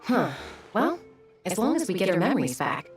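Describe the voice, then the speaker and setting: A woman playing a calm, trusting adventurer in an anime fantasy game, with an echoey, distant sound. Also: medium pitch, normal speed, close to an everyday voice. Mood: calm, assured. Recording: very echoey, distant, faint music.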